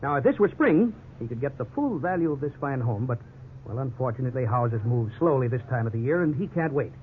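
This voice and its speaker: An actor playing a pushy, falsely sincere real estate salesman in a 1950s radio drama, with smooth patter and feigned hesitation. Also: mid-range voice, lively intonation, fairly quick, lightly acted. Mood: oily, persuasive. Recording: muffled, noisy 1950s radio recording.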